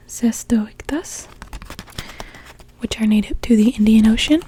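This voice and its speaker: A woman voicing a calm, mysterious sea-cove witch in an ASMR roleplay, speaking gently and slowly in a soft breathy whisper. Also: medium pitch, natural voice, poor audio. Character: calm mysterious cove witch, part mermaid, potion brewer.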